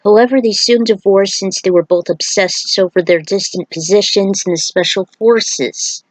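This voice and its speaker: A woman's voice: medium pitch, normal speed, no echo, rough recording. Woman reading a story, dry close sound. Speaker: narrator. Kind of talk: amateur storytelling, reading aloud a fan fiction epilogue.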